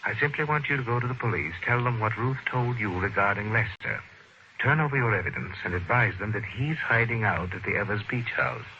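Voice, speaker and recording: An actor playing a cold, calculating smuggler and murderer in an old radio drama, suave, precise and menacing. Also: male voice, mid-range voice, brisk, natural voice. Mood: smoothly calculating. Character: cold, calculating male diamond-smuggling murderer offering a bribe. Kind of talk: 1940s radio drama acting. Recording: dull, faintly noisy old radio recording.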